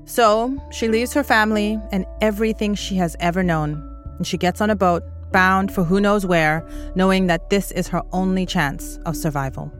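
A woman who grew up in East Africa, hosting and narrating a documentary podcast in a measured, earnest way. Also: mid-range voice, steady tone, soft background music.

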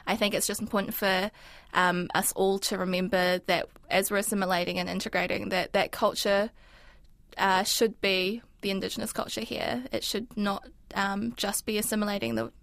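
Kiwi woman in her 20s, grew up speaking mandarin, gravelly fry, lots of ums, chatting in an interview. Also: mid-range voice, normal speed, fairly bright tone, dry recording. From New Zealand. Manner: thoughtful, frequent ums and likes. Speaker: interview guest. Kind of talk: casual reflective interview talk.

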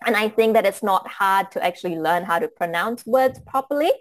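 A woman's voice: mid-range voice, animated, a little fast. A woman from Fiji who teaches yoga, speaking in an interview with hesitant, filler-heavy phrasing that rises when she presses a point. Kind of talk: casual interview talk.

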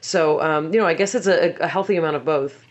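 A woman with a rough, scratchy voice answering interview questions as a voice actor, chatty and full of fillers. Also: low-pitched, a little fast.

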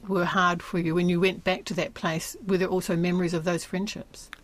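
A woman's voice: on the low side, flat delivery, fairly quick. A woman hosting a radio arts programme, presenting and interviewing. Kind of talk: broadcast arts interview with scripted introduction.

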